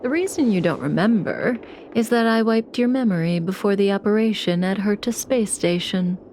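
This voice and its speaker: An actress playing a calm, teasing, manipulative woman in a video game, measured and coolly playful. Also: medium pitch, slight background noise, natural voice. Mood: calm, detached. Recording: music behind the voice. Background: game soundtrack music.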